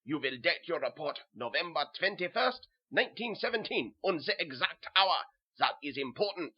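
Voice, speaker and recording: A man voicing a brisk, commanding German army officer with a rough voice and a German accent in a horror story. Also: fairly high pitch, big pitch swings, dull audio, pitched above the performer's own voice.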